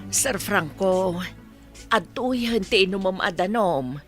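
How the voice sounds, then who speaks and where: A woman's voice: mid-range voice, lively intonation, takes it slowly, faint music behind. A woman playing a loyal, protective motherly housemaid in a radio drama, earnest and plaintive. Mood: pleading, scolding. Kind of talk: Ilocano radio drama acting.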